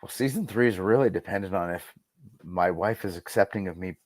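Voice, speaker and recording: A man's voice: low-pitched, fairly quick, dry recording. A man speaking as a podcast guest in a casual interview, enthusiastic and emphatic about his own creative work. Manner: enthusiastic, emphatic, acts out quoted lines. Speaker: guest, radio producer and audio drama creator.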